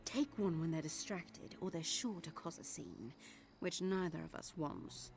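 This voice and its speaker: A woman's voice: sits low, lively intonation, unhurried, creaky, heavily acted. A woman playing a disgruntled, sardonic palace servant in a video game. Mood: sly, conspiratorial. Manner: grumbling, sardonic. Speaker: game character actor. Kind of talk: video game character acting. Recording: music behind the voice.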